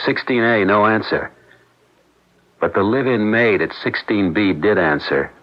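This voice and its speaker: Coolly probing husband, muffled radio drama. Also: male voice, on the low side. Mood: accusing, controlled. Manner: measured, probing, accusatory. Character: suspicious husband, coolly interrogating his wife. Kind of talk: 1970s radio drama acting. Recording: dull lo-fi 1970s radio broadcast.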